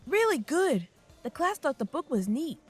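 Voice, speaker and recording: A woman's voice: high-pitched, sing-song, moderate pace, soft background music. A woman playing an earnest schoolchild in an audio drama. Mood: earnest, upbeat. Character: earnest schoolchild talking to mom. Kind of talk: naturalistic audio drama dialogue.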